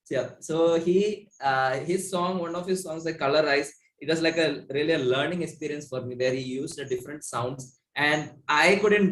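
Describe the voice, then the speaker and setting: A young man with an Indian accent speaks in an echoey room. His voice sits a little high, and he talks casually and effusively, like a musician being interviewed. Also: a bit bright. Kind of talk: casual chat.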